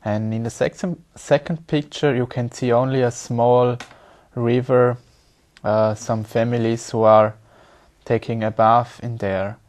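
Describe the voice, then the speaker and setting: A young man works through his answers slowly. He pauses and repeats words as he reaches for the right phrasing, speaking at a steady middle pitch. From Switzerland. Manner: hesitant, repeats words while searching for them. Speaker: exam candidate.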